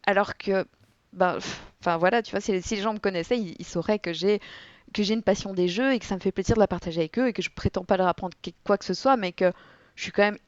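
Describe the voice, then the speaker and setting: A young woman guest telling stories and explaining her work in a relaxed podcast interview, with animated storytelling. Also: medium pitch, quick pace, no echo. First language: French. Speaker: guest, board game content creator. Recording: dull, dry lo-fi audio.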